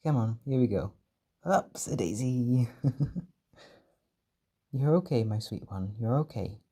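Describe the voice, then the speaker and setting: A man performing a gentle, doting caretaker in an intimate comfort roleplay, speaking softly and reassuringly with tender pet names. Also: mid-range voice, expressive, takes it slowly. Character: gentle, doting male caretaker soothing an overwhelmed partner.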